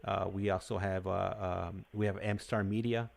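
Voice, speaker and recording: A man hosting a live call-in show in a casual, halting, agreeable way, often repeating himself. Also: sits low, flat delivery, gravelly. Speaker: host of a livestream call-in show.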